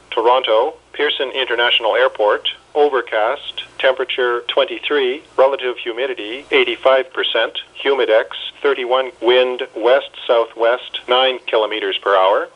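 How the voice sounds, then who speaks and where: A man's synthetic voice reads an automated weather bulletin in measured, impersonal, clipped phrases. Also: medium pitch. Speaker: weather radio announcer. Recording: muffled weather radio broadcast.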